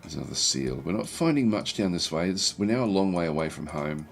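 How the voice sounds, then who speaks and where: A man with a croaky, recovering voice giving casual, halting livestream commentary as he plays a strategy game and chats with viewers. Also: low-pitched, animated, a little hiss or hum. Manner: halting, thinking aloud. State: croaky throat, recovering from feeling unwell. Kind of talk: casual game commentary, reading and answering chat.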